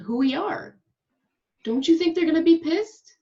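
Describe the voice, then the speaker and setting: A woman playing an excitable, candid actress aboard a starship, expressive and conversational, in an amateur table read. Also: extremely high pitch, a little slow. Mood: concerned. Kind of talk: amateur remote table read of a sci-fi comedy. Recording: slightly dull, lo-fi home recording.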